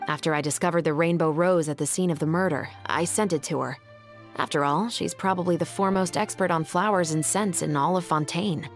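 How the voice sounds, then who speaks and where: A woman playing an earnest, dutiful young patrol captain in a video game, with a formal, measured delivery and music behind her. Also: fairly low pitch, lightly acted. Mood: composed, explanatory.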